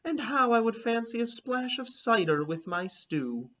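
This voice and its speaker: A man's voice: very high-pitched, very animated, unhurried, bright, forceful tone, pitched above the performer's own voice, full character voice. A man voicing a homesick pilgrim woman who talks in a blunt, old-fashioned, matter-of-fact way, reading a children's storybook aloud. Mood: wistful. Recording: slightly dull lo-fi recording.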